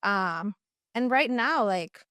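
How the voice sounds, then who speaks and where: A woman's voice: mid-range voice, animated, slow pace, sharp tone, clean tone, dry recording, studio quality. Earnest young woman from Mexico. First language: Spanish. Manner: earnest, many "like" fillers and restarts. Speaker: guest dietitian. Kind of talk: casual interview talk.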